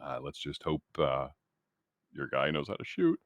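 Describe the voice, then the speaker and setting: A man's voice: extremely low pitch, wildly swooping pitch, moderate pace, no room sound. A man playing a tabletop role-playing game, trading casual banter in a wry, sarcastic way.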